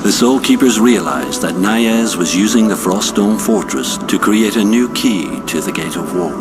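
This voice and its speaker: A man giving scripted narration of a fantasy game story in a measured, solemn storytelling manner. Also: lowish voice. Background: loud music.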